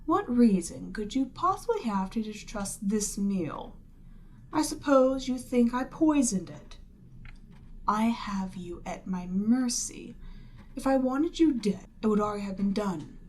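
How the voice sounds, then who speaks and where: A woman voicing a proud, seductive demon queen in a scripted ASMR roleplay, haughty and measured, lapsing into breathy near-whispers. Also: medium pitch, animated, a little mellow, slight background noise, lightly acted. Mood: imperious, needling.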